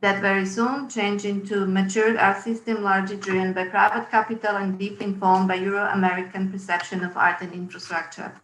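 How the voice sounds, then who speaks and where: A woman's voice: medium pitch, little pitch movement, brisk, hardly any pauses, fairly bright tone, reverberant, rough recording. A woman, giving a hesitant webinar presentation as a curator over a video call. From Yugoslavia. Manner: hesitant, with frequent ums and uhs. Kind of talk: webinar presentation, partly read. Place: room at home.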